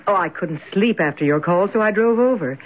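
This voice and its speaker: A woman's voice: mid-range voice, animated, fairly quick, natural voice. An actress playing a woman in an old-time radio mystery drama. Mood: concerned, affectionate. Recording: dull lo-fi old radio recording.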